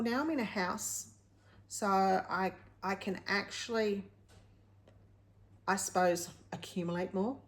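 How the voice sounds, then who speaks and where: A woman, talking candidly to viewers in a casual, rambling vlog style, often correcting herself. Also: mid-range voice. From Australia. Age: late 50s. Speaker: vlog host.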